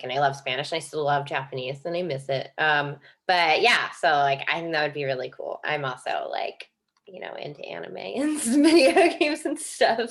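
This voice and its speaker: A woman's voice: deep voice, a bit bright. A young woman, a student, chatting in a casual, rambling, informal way during a group meeting. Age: young adult. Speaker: university student. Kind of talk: casual chat.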